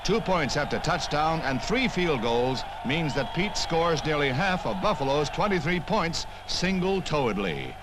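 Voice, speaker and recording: A man's voice: on the high side, very animated, measured pace, hardly any pauses, background noise. An American man reading scripted 1960s newsreel narration in a punchy, wry manner, on an old film soundtrack. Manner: punchy, wry, punning delivery. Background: music.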